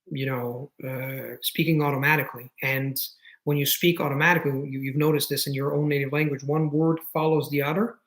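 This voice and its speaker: A man's voice: on the high side, a bit bright, poor audio. A man in his thirties with an Italian accent, answering viewer questions in a casual live stream, with many restarts and fillers. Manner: frequent restarts and fillers, thinking aloud; enthusiastic, explanatory.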